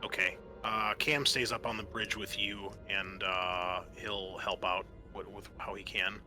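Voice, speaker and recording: A man acting as game master of a tabletop roleplaying game, talking in a halting, conversational and accommodating way. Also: fairly low pitch, steady tone, over music.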